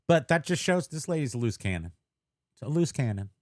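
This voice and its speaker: A man's voice: medium pitch, brisk, dry recording, clean studio sound. A man, co-hosting a podcast in casual, joking chat and slipping into silly put-on voices. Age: 50s. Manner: jokey, animated, slips into silly put-on voices. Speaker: podcast co-host.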